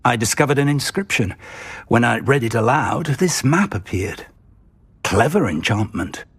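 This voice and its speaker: Very husky old professor. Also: male voice, mid-range voice, lively intonation, measured pace. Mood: intrigued, eager. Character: older male wizard professor, earnest and excitable.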